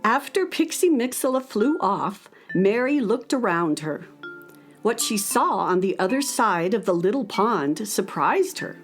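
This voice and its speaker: A woman narrating a scripted children's story in an expressive storytelling manner. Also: mid-range voice, unhurried, faint music behind. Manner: storytelling, wonder-filled.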